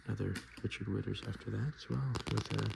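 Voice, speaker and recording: Hushed, gravelly low-voiced ASMR man. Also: fairly even intonation, fast talker, background noise. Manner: hushed, casual murmured asides. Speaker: ASMR video host. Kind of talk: ASMR, softspoken and whispered card show-and-tell.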